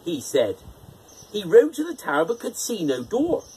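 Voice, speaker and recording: A storyteller narrating a children's fairytale in an expressive, theatrical manner. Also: medium pitch, moderate pace, slight background noise, rough recording.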